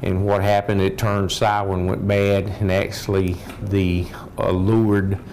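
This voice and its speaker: Man, 50s, low voice, matter-of-fact official, unscripted, indoor office, faint noise. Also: little pitch movement, unhurried, a little mellow. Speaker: law enforcement official.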